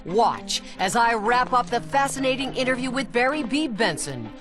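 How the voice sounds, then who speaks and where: Haughty, animated TV news host. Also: female voice, on the high side, moderate pace, music in the background. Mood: dramatic, polished. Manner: polished presenter delivery, condescending. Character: slick, haughty female bee TV news host. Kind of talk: dramatic TV news interview.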